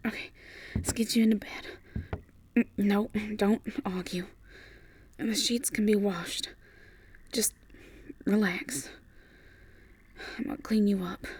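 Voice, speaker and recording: A woman playing a tender, worried Southern US farmer sweetheart in an audio roleplay, in a breathy, husky voice that is often near a whisper, with a soft, halting drawl. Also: medium pitch, background noise. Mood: anxious, soothing. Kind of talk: ASMR audio roleplay acting.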